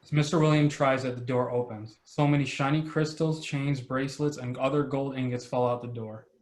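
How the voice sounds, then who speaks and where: A man's voice: mid-range voice, fairly even intonation, a little fast. A man narrating scene directions from a script at an amateur table read.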